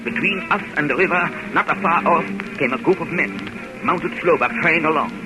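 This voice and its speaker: Loud music swells under an old radio recording of a man playing an older vampire hunter. He narrates in a fairly high male voice, declamatory and urgent, as a dramatic witness. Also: a little fast, close to an everyday voice, low-quality recording. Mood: grave, descriptive. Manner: breathless, declamatory storytelling.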